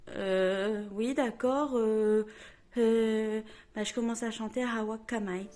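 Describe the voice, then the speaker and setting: A woman vlogger chatting at home to her camera, telling a story in a self-mocking, lively way. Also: a little high, slow pace.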